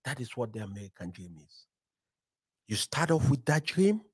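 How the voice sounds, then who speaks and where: A man in his forties, originally from Cameroon, speaking as a podcast guest in an animated, motivational style with frequent "right?" check-ins, recorded close on a studio mic. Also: medium pitch, normal speed, husky, no room sound. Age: 40s. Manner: animated, preacher-like build-ups, frequent "right?". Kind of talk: energetic motivational storytelling. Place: bookshelf-lined podcast studio. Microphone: studio mic on boom arm.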